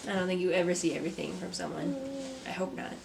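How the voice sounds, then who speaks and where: A young woman, a mother and artist, talking to camera from a script in a soft, reflective vlog; she sounds stuffy and congested. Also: on the low side, steady tone, fast, gravelly, background noise. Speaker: vlogger, artist. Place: home. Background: kids playing.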